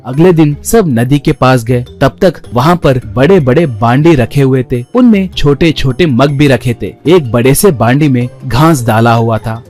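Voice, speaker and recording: A man's voice: mid-range voice, unhurried, hardly any pauses, darkish tone, faint music behind. A man narrating a scripted children's story.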